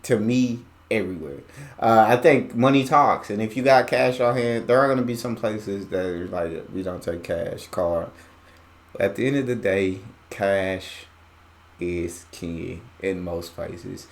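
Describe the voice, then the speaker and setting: A man's voice: on the low side, measured pace. An American man reacting on a video, thinking aloud casually with many fillers and hedges. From United States.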